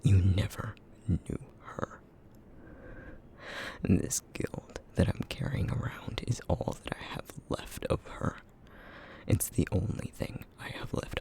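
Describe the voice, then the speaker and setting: A man playing a brooding, guilt-ridden essence-eating creature in an ASMR roleplay drama, bitter and sarcastic, with a breathy, gravelly half-whisper. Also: low-pitched, a little mellow, full character voice. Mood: angry, grieving.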